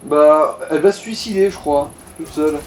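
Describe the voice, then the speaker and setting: A man's voice: on the high side, moderate pace, slight background noise. A man chatting casually over a video game, explaining and advising the player. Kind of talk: casual chat over gameplay. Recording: echoey room, game music behind.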